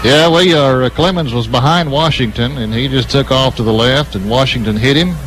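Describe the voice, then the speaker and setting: A male radio announcer delivers live football play-by-play at a middling pitch. He follows the action as it unfolds, in the manner of a game broadcast. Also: brisk, fairly bright tone, a little hiss or hum, low-quality recording.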